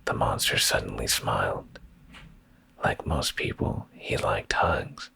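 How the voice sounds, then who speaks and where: Male ASMR narrator for bedtime stories: heavy vocal fry, breathy whisper, deliberate pauses, expressive pitch movement. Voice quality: breathy, gravelly low whisper. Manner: slow, hushed, deliberate delivery with pauses.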